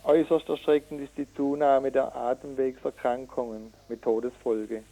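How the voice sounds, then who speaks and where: A man speaks in a radio interview as a campaigner. His voice is dark and mellow at an average pitch. He proceeds haltingly, correcting himself, and words his points with indignant emphasis. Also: little pitch movement, rough recording. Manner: halting, with many hesitations and self-corrections. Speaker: activist interviewee from a citizens' environmental initiative. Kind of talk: radio interview, reading out figures and arguing.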